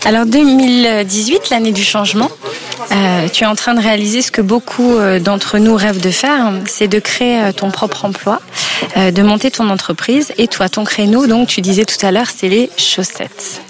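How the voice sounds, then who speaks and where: A woman's voice: medium pitch, moderate pace. A woman hosting a podcast, introducing and interviewing in an informal way.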